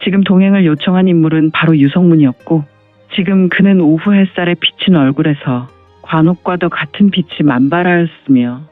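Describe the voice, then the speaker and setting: A man's voice: on the high side, a little fast, no echo, phone-quality sound. A man narrating a martial arts audio drama in a scripted storytelling style. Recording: dry, band-limited, music underneath.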